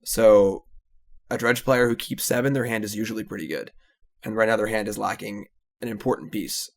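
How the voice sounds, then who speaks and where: A man in his thirties, relaxed and analytical as he commentates his card game. His voice sits low and carries a gravelly, fried edge. He lets his thoughts trail off with a casual "so" before he moves on. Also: normal speed.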